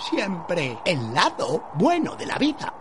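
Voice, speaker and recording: Radio parody role: cheerful condemned philosopher acted out by a performer, goading, wildly swooping pitch, slow theatrical phrasing, noisy recording. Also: huge pitch swings. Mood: rousing, goading.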